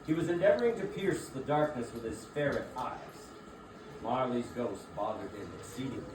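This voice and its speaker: A man's voice: mid-range voice, big pitch swings, moderate pace. A man narrating a classic story as scripted theatrical reading. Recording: distant echoey room, clearly noisy.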